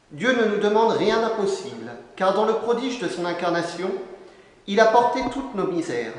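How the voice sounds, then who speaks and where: A young man preaches from a lectern in a large church, his voice set high for a man. He reads in solemn, measured phrases. The sound rings with a very strong, long reverb from the stone hall. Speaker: canon preacher. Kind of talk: formal sermon read from a lectern.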